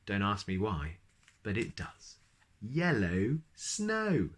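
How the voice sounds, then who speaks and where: A man reading children's storybooks aloud as host, expressive and speaking directly to young listeners. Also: lowish voice, takes it slowly.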